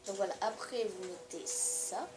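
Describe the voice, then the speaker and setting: A woman's voice: mid-range voice, a little slow, reverberant. A woman with a cold, giving hesitant step-by-step building instructions over gameplay. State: stuffy with a cold. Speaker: gaming video creator.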